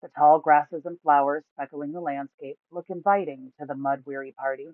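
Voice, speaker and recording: A woman's voice: sits low, fairly even intonation, normal speed, no echo, narrow, tinny audio. A woman reading a scripted fantasy adventure story as its narrator.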